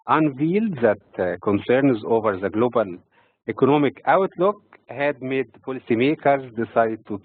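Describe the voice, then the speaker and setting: A man from Egypt with an Egyptian accent reads prepared financial market commentary in a measured, halting way, breaking phrases mid-sentence. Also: mid-range voice, no echo. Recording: tinny, phone-like narrow-band audio.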